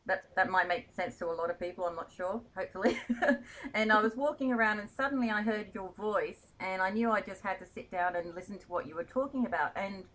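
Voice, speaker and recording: A woman's voice: medium pitch, fairly quick, a bit bright, low-quality recording. A woman hosting an interview, chatty and rambling, laughing often and joking about her own pronunciation. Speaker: magazine editor and show host.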